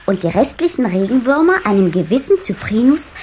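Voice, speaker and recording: High, lively little water sprite boy. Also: brisk. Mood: cheeky, determined. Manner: wide-eyed, excitable, playful. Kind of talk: children's radio play acting. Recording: faint hiss of old cassette.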